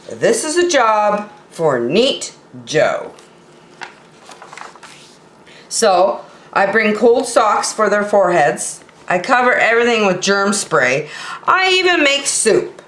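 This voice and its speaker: A woman's voice: medium pitch, big pitch swings, takes it slowly, fairly bright tone, echoey room. A woman voicing a proud, jokey, sloppy young boy from a children's storybook in a playful, eager manner. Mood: confident.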